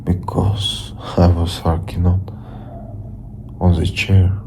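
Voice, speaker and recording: A young man with a Russian accent, a native Russian speaker, telling a story from his bedroom in halting English, repeating and restarting his words. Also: low-pitched, monotone, vocal fry, background noise. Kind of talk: casual storytelling to camera.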